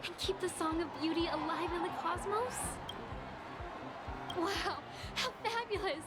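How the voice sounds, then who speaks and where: Brief: earnest young songstress, game role, polite and bubbly, high pitch, music behind. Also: female voice, unhurried. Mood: delighted, grateful. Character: sweet, earnest young female street singer. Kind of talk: video game character acting.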